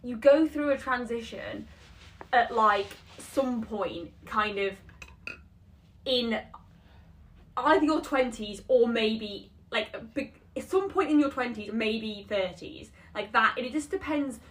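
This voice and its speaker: A woman in her twenties co-hosting a chat podcast, rambling and cutting herself off, her voice a little deeper than usual from allergies. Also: takes it slowly, recorded from a distance. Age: 20s. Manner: rambling, self-interrupting, laughs at herself. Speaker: podcast co-host. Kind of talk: casual chat.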